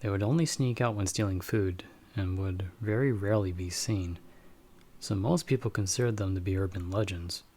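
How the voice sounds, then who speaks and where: A man playing a watchful bounty hunter in an audio roleplay, explaining things to his companion in a measured, slightly formal way. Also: low-pitched, dark tone. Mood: calm, watchful. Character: calm, watchful male bounty hunter with magical wards. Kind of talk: ASMR audio roleplay acting, speaking to the listener as companion.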